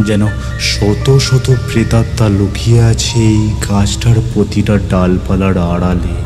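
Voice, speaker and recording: Man narrating suspenseful horror over prominent music. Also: on the low side. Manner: suspenseful, theatrical, with deliberate pauses and repeated words. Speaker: narrator of a ghost story. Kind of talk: dramatic first-person horror storytelling.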